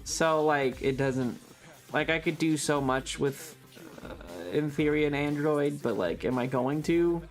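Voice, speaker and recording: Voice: mid-range voice, unhurried. Rambling, casual streamer over music. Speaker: livestream host. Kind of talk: casual chat with stream viewers.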